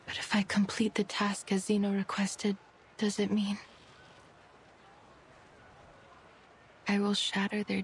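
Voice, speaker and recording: A woman voicing an earnest, troubled researcher in a fantasy game, speaking in a measured, formal, solemn way. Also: medium pitch, gravelly, slight background noise, close to an everyday voice. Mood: troubled, brooding. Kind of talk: dramatic game dialogue. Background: game music.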